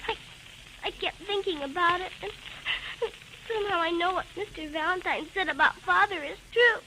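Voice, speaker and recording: A woman's voice: very high voice, moderate pace, pushed and bright, poor audio. An actress playing a sensitive, headstrong young girl in an old-time radio melodrama. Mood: tearful. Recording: old radio recording with faint noise.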